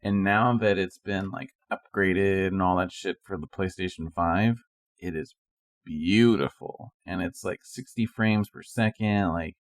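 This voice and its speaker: A man's voice: low-pitched, unhurried, dry recording, clean studio sound. A man co-hosting a podcast, chatting casually in a laid-back, informal way. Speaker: podcast co-host. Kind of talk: casual chat.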